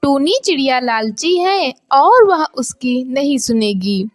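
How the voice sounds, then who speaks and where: A woman's voice: sits high, very animated, slow pace. A woman narrating a scripted moral bedtime story for children.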